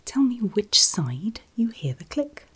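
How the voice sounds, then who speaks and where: Woman whispering softly, close to the mic. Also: fairly high pitch, big pitch swings, slow. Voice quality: breathy unvoiced whisper. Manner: gentle, reassuring, checking in often. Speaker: ASMR performer playing an ear examiner. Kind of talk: whispered ASMR medical roleplay. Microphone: close ASMR microphone.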